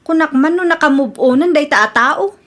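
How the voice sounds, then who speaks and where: A woman's voice: high-pitched, lively intonation, normal speed, a bit bright. A woman playing an exasperated young woman fed up with her ex, in a comedy radio drama. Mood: chatty.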